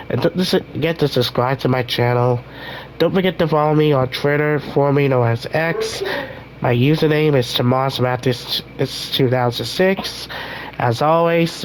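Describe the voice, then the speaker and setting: A young man hosting a casual gaming vlog, rambling with many restarts while his voice recovers from being hoarse. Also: mid-range voice, background noise. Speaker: gaming channel host.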